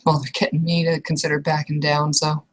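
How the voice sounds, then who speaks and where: A young woman with a slightly low voice talks through an improvised roleplay scene. She speaks casually and haltingly, restarting sentences and trailing off as she goes. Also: completely flat delivery. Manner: casual, hesitant, trailing restarts with mild swearing. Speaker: roleplay player. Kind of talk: improvised in-character roleplay conversation.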